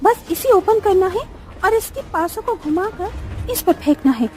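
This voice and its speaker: A woman's voice: extremely high pitch, animated, measured pace, dark tone. A woman playing a curious, eager young woman explaining things to friends in a cartoon story.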